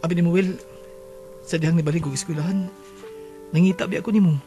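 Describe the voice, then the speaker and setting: A man's voice: a little high, steady tone, fast, lightly acted. A man with a Filipino Cebuano accent playing an earnest, tender teenage suitor in a radio drama. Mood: romantic confession. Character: Minggoy, earnest lovestruck teenage schoolboy suitor. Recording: music behind the voice.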